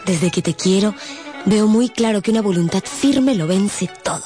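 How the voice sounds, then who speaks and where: A woman's voice: medium pitch, normal speed, mellow, soft background music, lightly acted. An actress playing a passionate, dreamy young woman with an earnest, independent streak in a radio drama. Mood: earnest, resolute.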